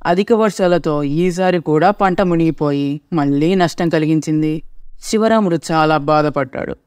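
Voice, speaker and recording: A man's voice: a little high, moderate pace, darkish tone, clean tone, no echo, studio quality. A man narrating a scripted cartoon story as its storyteller.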